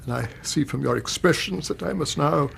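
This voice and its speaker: A dishonoured older British banker in a radio play. His fairly high voice is gravelly and creaky, agitated and stumbling as he pleads. There is faint noise behind him. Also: moderate pace, a bit bright. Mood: broken, despairing. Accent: British English. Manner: stammering, agitated. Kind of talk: 1980s British radio drama acting.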